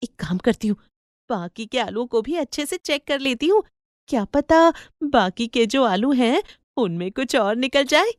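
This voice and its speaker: A woman voicing an excitable, hardworking mother in an animated story, with exclamatory, wondering delivery. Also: sits high, unhurried, no room sound, studio quality. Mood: amazed. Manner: exclamatory, wondering, mildly scolding. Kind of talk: animated Hindi storybook acting.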